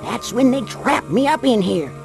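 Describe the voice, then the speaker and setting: A high-voiced old Southern backwoods granny, pleading and folksy, swinging wildly in pitch. She is a wheedling, sinister captive in a horror video game, with music faintly underneath. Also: big pitch swings, normal speed, vocal fry. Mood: urgent, scheming. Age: older.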